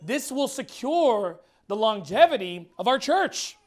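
A man's voice: very high-pitched, very animated, measured pace, soft background music. A man in his thirties preaching a fiery, blunt sermon in a church while losing his voice. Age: 30s. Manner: emphatic, blunt, repeats words. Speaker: pastor.

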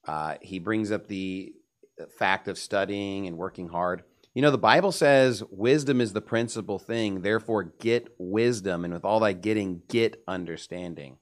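Low-pitched man in opinionated religious discussion. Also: normal speed.

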